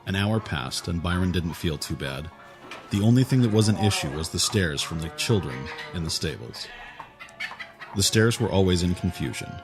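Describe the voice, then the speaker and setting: A man's voice: sits low, lively intonation, moderate pace. A man narrating fantasy fiction as a scripted audiobook. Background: music.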